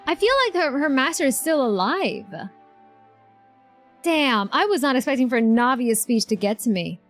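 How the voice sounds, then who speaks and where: A woman's voice: high voice, expressive, normal speed, fairly bright tone. A woman streamer giving casual, gushing, slangy live reactions to a game. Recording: game music behind the voice.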